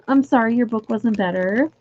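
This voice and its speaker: A young woman chatting casually with viewers on a livestream from home, giggly and full of fillers, her voice going a little hoarse. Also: fairly high pitch, measured pace, darkish tone, dull audio. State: losing her voice. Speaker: livestream host. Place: home room.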